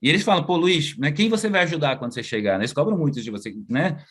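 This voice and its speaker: A man's voice: a little high, lively intonation, very fast, a bit bright, slightly rough. Man, Brazilian, thirties, casual, slangy, tag questions, video call. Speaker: guest psychologist and researcher. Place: outdoors at home, moving inside.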